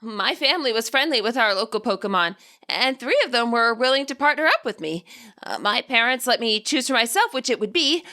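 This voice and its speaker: A woman's voice: a little high, animated, fairly quick, pushed and bright. A woman voicing a nervous, cautious middle-aged male spirit who speaks hesitantly and apologetically in a story reading. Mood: gentle, reflective.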